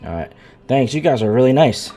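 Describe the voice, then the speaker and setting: Mellow-voiced male game streamer. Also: mid-range voice, lively intonation, a little fast. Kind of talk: live stream reading and casual commentary. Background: faint game music.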